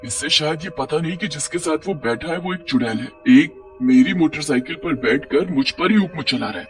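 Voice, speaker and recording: A man voicing a willful, excitable female witch risen from the grave in a horror storybook tale. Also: a little high, normal speed, soft background music, natural voice. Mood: bossy, annoyed. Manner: self-absorbed, excitable.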